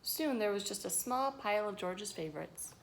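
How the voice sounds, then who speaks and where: A woman's voice: medium pitch, moderate pace, creaky. Woman reading aloud to children, noisy recording. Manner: expressive, addresses children directly with questions. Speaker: teacher reading a picture book to children. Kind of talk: read-aloud storytelling with asides to young listeners.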